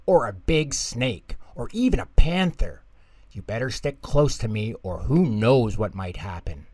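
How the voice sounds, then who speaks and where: A man's voice: medium pitch, very animated, measured pace, darkish tone, dry recording. A man voicing a bossy, scornful little girl in a children's storybook, talking down to the smaller kids. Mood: ominous. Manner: bossy, condescending.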